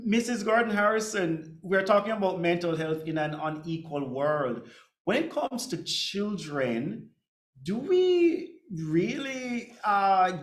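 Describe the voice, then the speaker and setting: A man's voice: fairly high pitch, echoey room. A man with a Jamaican accent moderating a panel, enthusiastic and slightly hesitant when asking questions. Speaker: host.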